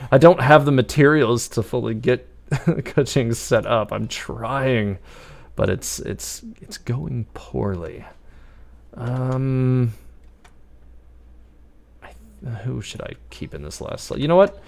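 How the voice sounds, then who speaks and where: A man's voice: medium pitch, expressive, slow, creaky, long pauses. A man giving casual, rambling live gameplay commentary, thinking aloud as he streams. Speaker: game livestreamer.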